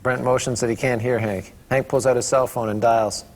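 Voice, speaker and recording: A man's voice: mid-range voice, little pitch movement, normal speed. A man reading stage directions aloud at a script table read. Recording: echoey room with clear background noise.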